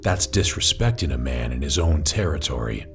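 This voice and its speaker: A man's voice: very animated, normal speed, faint music behind, exaggerated voice. A man playing a big, intimidating wolf tough guy in an audio drama, speaking with territorial, drawling menace. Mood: menacing. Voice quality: deep, low voice. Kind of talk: audio drama character acting.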